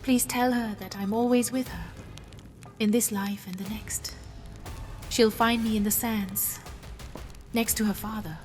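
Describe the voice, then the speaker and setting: Solemn pleading queen for dramatic fantasy audio, female performer, higher voice, music underneath. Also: fairly even intonation, measured pace, long pauses, lightly acted. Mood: tender pleading. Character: dying queen, devoted mother, solemn and pleading. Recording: long hall reverb, music underneath.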